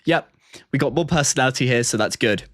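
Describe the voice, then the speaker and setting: A man's voice: medium pitch, fast talker, a bit bright, no echo. Man streamer thinking aloud, dry close sound. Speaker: streamer reacting to and ranking game trailers. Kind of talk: casual livestream commentary. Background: music.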